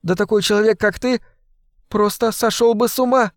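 Husky sobbing woman on the phone, pleading, pitched up high, as a male narrator puts her on, dry close sound. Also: fairly even intonation, moderate pace, no room sound. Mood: pleading tearful. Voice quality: husky, chesty.

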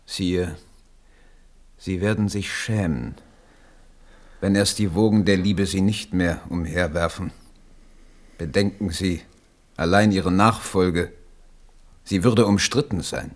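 A man's voice: sits low, fairly even intonation, slow, long pauses. An actor playing a blunt, stern royal minister in a radio drama, speaking formally and firmly as he admonishes. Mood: admonishing, grave.

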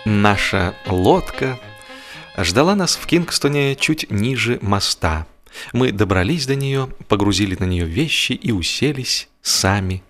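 A male audiobook narrator with a fairly low voice tells a humorous novel. His delivery is expressive and literary, and some music plays behind him. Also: measured pace.

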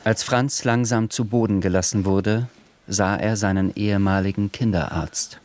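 A man narrating a radio drama in a calm, measured way. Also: lowish voice.

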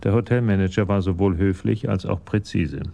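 A man's voice: deep voice, fairly quick, creaky, mellow. A man narrating a German radio crime drama in scripted prose.

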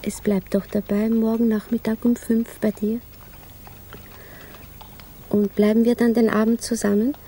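Very dark-toned, yearning woman in love. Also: a little high, little pitch movement, a little slow, long pauses. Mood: pleading, tender. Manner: tender, pleading, tentative. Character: yearning woman in love, tender, clinging, anxious. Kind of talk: 1950s German radio drama acting. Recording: muffled 1950s radio recording, faint noise.

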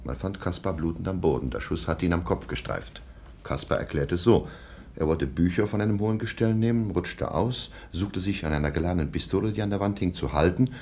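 Radio play, middle-aged aristocrat, low-pitched, stern, sardonic and formal. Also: male voice, a little fast, darkish tone, a little hiss or hum. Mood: indignant, narrating. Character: stern, sceptical middle-aged German baron, sharply ironic. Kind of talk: German radio play acting.

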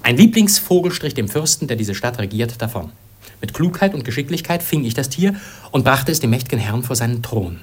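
An actor playing a proud, impetuous young exiled prince in a verse radio play, with husky, declamatory delivery. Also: male voice, medium pitch, expressive, brisk. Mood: solemn, proud. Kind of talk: classical German radio play acting in verse.

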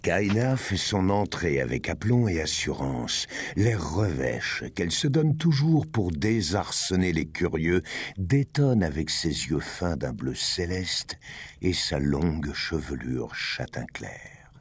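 A man's voice: low-pitched, animated, slow, husky, no echo, heavily acted. A man reading scripted narration for an audio drama as its storyteller.

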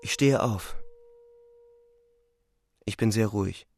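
An actor playing a weary, resigned narrator of a war story in a radio drama, calm, measured and solemn. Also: male voice, on the low side, slight background noise. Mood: calm, resolute. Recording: music behind the voice.

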